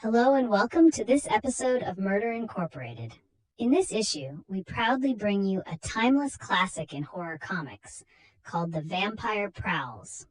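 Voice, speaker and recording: A woman's voice: mid-range voice, poor audio. A woman hosting and narrating a horror comic story as a dramatic scripted reading. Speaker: narrator and host.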